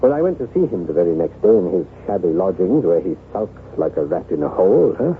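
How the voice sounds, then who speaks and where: Tinny old radio, low-voiced brooding aristocrat. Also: male voice, sing-song. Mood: warm, animated. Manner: theatrical, formal, halting and emotional phrasing. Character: brooding, lonely, self-loathing aristocratic former soldier, stern but yearning. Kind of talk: old-time radio drama acting. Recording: tinny band-limited vintage radio, hissy.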